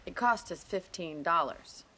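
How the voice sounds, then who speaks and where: A woman playing a blunt, sharp-tongued young ranch woman in a Western video game, frank and chiding, with an American accent. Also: fairly low pitch, expressive, a little slow, a little hiss or hum. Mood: matter-of-fact. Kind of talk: dramatic video game cutscene acting.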